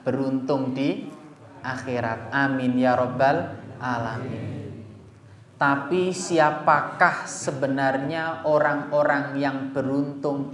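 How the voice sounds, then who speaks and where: A male preacher with a Javanese Indonesian accent delivers a religious talk at an unhurried, slow pace. He speaks straight to his listeners and poses questions to them. The recording is echoey, with faint noise behind the voice. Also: on the high side. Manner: addresses listeners directly, with rhetorical questions. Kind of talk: religious sermon to a gathering.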